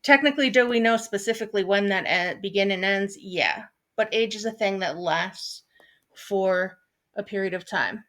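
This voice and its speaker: A woman in her thirties, a teacher, explaining a lesson in a relaxed, chatty way, with frequent ums and small asides. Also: medium pitch, normal speed. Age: 30s. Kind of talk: recorded online lesson. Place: at home, seated at a desk.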